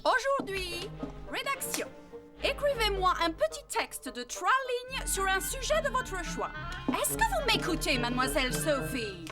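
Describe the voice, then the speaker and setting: A woman's voice: extremely high pitch, sing-song, measured pace, distant mic, over loud music, heavily acted. A woman playing a stern, prim, fault-finding governess in a French cartoon. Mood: strict. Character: strict, fussy, scolding governess. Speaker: governess and teacher. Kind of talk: French animated series dubbing.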